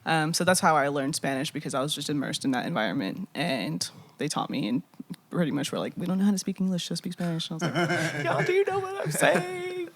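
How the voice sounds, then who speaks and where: A young woman from Texas, guesting on a casual podcast. She talks in a lively, laughing way and swears freely. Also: low voice, fast talker. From Austin, Texas.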